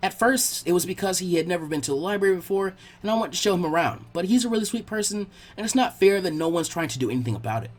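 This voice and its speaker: A man voicing an earnest bookish girl, husky voice. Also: high-pitched, animated, quick pace, hardly any pauses. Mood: teasing, sympathetic. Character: earnest, bookish young girl tutor, teasing and kind.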